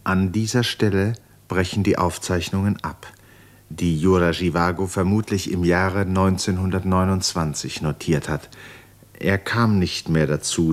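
A male narrator with a very low voice reads scripted literary prose. He tells the story of a radio play.

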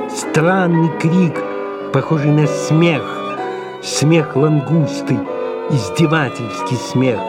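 Sardonic aging male writer in exile played by a man: declamatory, drawn-out, mid-pitched, music clearly underneath. Audio drama. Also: natural voice. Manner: slow, drawn-out, pausing, declamatory. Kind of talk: audio play acting.

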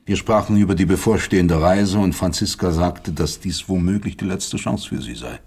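An actor playing a guarded professor under questioning in a radio drama, terse and pedantic, with a husky voice. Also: male voice, low-pitched, moderate pace, a little mellow, exaggerated voice. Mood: guarded, dry. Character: guarded male professor under questioning, drily evasive father.

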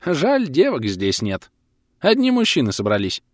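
Male narrator as talkative old man, high swooping voice, cheeky, sly, folksy, audiobook character. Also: wildly swooping pitch, normal speed, no echo, clean studio sound. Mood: wistful. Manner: sly, exclamatory, folksy colloquial speech. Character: chatty old male patient, cheeky, boastful, bawdy.

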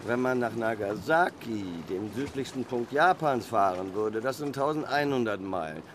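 A man's voice: mid-range voice, animated, moderate pace, natural voice. An actor playing a cautious, deferential male harbour boat pilot in a German audio drama, plain-spoken in manner. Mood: cautious, bargaining. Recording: faint noise, watery harbour ambience. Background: water and harbour ambience.

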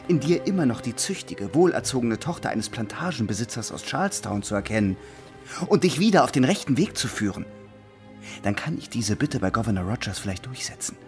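A man's voice: mid-range voice, close to an everyday voice. A man acting in a German radio play as an earnest, lovesick, upright naval officer with a formal, pleading way of talking. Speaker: radio drama actor.

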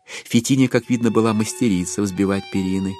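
A man narrating a literary audio drama in an expressive scripted style. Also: lowish voice, a little fast, soft background music.

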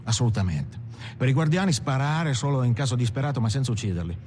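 An actor playing a militant gangster who coolly gives first-person testimony in measured, clipped, emphatic short phrases. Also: male voice, mid-range voice, expressive, a little mellow, lightly acted. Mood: intent, controlled. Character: militant gangster coolly recalling armed action. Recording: faint background noise and ambience.

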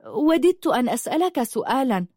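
Woman voicing a high-pitched, plaintive young princess. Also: sing-song, a little fast, dark tone, no echo, studio quality. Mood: hesitant, pleading. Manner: hesitant, plaintive. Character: sad, beautiful young princess, shy and lonely.

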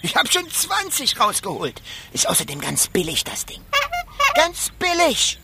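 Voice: mid-range voice, huge pitch swings, measured pace, vocal fry, noisy background, heavily acted. An actor playing an odd, teasing wasteland scavenger in a radio drama, with rambling, stuttering, cackling speech. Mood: sly, rambling.

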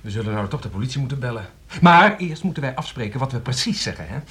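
A man's voice: mid-range voice, lively intonation, fast talker, fairly bright tone. A man acting in a radio play as a sober, practical man who takes charge. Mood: grave, practical.

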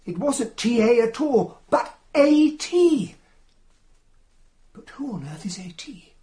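A detective's companion in a radio drama. Her voice is dark and mellow, with an eager but puzzled manner as she hesitantly thinks aloud. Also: fairly high pitch, expressive, takes it slowly. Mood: puzzled, excited.

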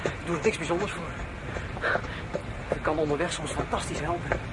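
A tender young horseman in a radio drama, played by a man, speaks softly and intimately in a fairly high male voice. Clear background noise surrounds him. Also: a little fast, lightly acted. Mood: earnest. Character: young male horseman, tender, courting, mind-reading traveller. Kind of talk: Dutch radio drama acting.

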